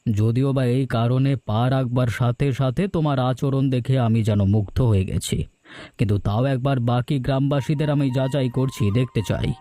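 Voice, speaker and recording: A man playing a courteous older visitor from town in a cartoon folktale, speaking in a measured, formal way. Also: mid-range voice, steady tone, warm tone, dry recording, close to an everyday voice. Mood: curious, measured.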